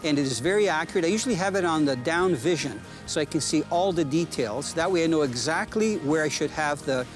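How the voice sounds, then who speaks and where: An older man in his sixties talks through his fishing from a kayak out on a lake, his voice a touch higher than most men's. He explains in a relaxed, easygoing way, and music plays clearly underneath. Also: fairly quick, few pauses. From Canada. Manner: relaxed and explanatory, easily excited by a catch. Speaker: fishing show host. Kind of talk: casual outdoor fishing commentary.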